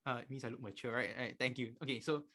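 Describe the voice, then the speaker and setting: A man's voice: medium pitch, fairly even intonation, fast, sharp tone. A nineteen-year-old man with a Malaysian accent teaching an informal online class, emphatic and repeating his key points. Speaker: physics tutor.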